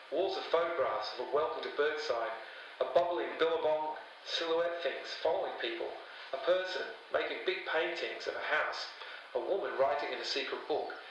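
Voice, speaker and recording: An actor playing an eccentric older webmaster in a podcast drama, matey and rambling in clipped fragments. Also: male voice, a little high, a bit bright, lightly acted. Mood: earnest, reminiscing. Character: eccentric older male conspiracy-minded webmaster, rambling and friendly. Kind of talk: audio drama podcast interview. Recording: distant, echoey room with faint noise.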